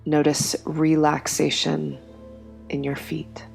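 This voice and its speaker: A woman guiding a yoga nidra meditation in a slow, soft, soothing way, with long pauses between phrases. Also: sits low, completely flat delivery, gravelly, faint music behind. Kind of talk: guided meditation.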